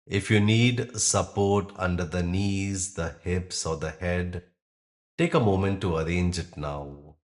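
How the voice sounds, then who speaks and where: A man leading a guided yoga nidra meditation, speaking calmly and gently in short, unhurried phrases with pauses. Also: deep voice. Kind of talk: guided meditation, slow instruction with long pauses.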